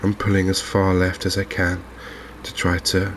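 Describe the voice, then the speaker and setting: A man giving calm, understated, softly spoken ASMR commentary on a video game, in an unhurried way. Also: deep voice, a little hiss or hum. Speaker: gaming video host.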